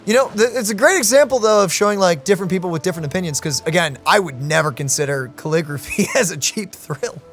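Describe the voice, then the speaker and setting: A woman's voice: fairly low pitch, expressive, fast, hardly any pauses, soft background music. A woman giving casual live reaction commentary as she streams a game.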